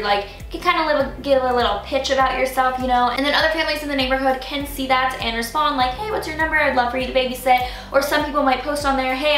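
A young American woman with a high, bright voice talks casually to her vlog audience. She is chatty and youthful, sprinkling in filler words like "kinda". The sound is a little roomy, as in a bedroom. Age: early 20s. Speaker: lifestyle vlogger. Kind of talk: casual scripted-free vlog chat to camera. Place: small furnished bedroom, indoors. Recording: roomy bedroom echo, faint music. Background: faint music.

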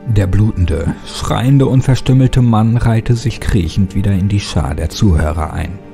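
A man's voice: lowish voice, measured pace, gravelly, warm tone. A man giving a scripted, dramatic first-person narration of a horror story. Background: music.

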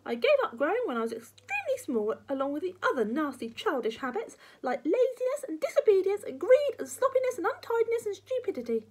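A woman's voice: very high voice, very animated, quick pace, hardly any pauses, a little mellow, pitched above the performer's own voice. A woman voicing a tiny, wicked old grandmother from a children's storybook, bossy, snappy and scolding.

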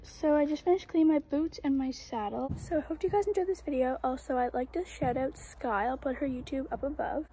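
A teenage girl vlogging casually about her horse at a barn, talking into a phone camera she holds close, chatty with fillers and quick asides. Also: sits high, mellow, low-quality recording. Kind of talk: casual vlog narration. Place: horse barn and outdoor riding arena. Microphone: phone camera held close.

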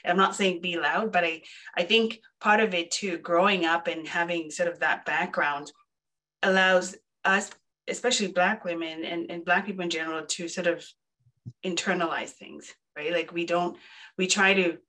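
A woman's voice: on the low side, fairly even intonation, moderate pace, pushed and bright, poor audio. A woman from Zimbabwe speaking on a webinar panel, earnest and encouraging in an easy conversational way. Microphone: video call.

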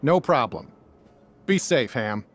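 A man playing a friendly cowboy doll who leads the other toys, reassuring and take-charge, in an animated game. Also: medium pitch, slow, slight background noise. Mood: upbeat.

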